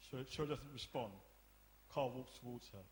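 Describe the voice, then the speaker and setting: A man's voice: mid-range voice, steady tone, fairly quick. A man narrating the stage directions of a radio play from a script. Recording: echoey room, live performance, hiss.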